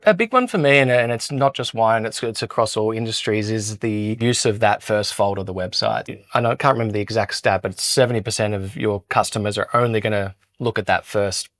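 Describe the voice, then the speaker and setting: A man with a fairly low voice talks casually and often restarts his sentences. The sound is dry and close to the mic. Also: brisk, few pauses, dry recording. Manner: relaxed, with repeated restarts. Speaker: co-founder, e-commerce lead. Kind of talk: casual podcast chat.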